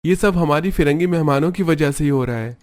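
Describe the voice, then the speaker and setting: A man's voice: fairly high pitch, brisk, close to an everyday voice. A man voicing a good-natured village husband who runs a small eatery in a cartoon story, earnest and plain-spoken. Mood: agreeable.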